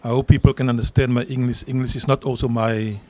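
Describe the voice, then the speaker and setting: Middle-aged man, Afrikaans accent, union leader, emphatic, medium pitch. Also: little pitch movement, quick pace, a little mellow. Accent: South African Afrikaans. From South Africa. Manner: earnest, emphatic, repeats words when stressing a point. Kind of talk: interview answers at a public event. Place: outdoor garden event. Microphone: handheld mic.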